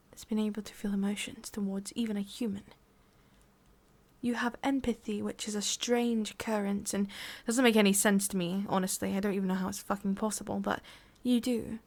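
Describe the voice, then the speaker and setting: A woman with an English accent playing a menacing, mockingly tender female boss of demons in an ASMR roleplay, breathy and often whispering, with a taunting, condescending manner. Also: mid-range voice, lightly acted. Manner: slow, taunting, condescending, with pauses and sighs.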